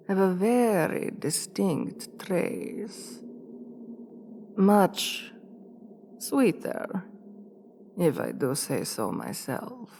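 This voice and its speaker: A woman playing an imperious, seductive vampire queen in an ASMR roleplay, commanding and teasing with condescending, deliberate delivery. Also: deep voice, vocal fry, dark tone, slight background noise, full character voice. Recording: long reverb with music behind.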